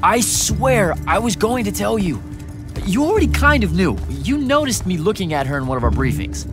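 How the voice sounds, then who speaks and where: A young wisecracking hero from a video game, stammering and defensive, his fairly high voice moving lively up and down. Orchestral music swells under every line. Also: moderate pace. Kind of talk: video game cutscene acting. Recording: game audio with orchestral score.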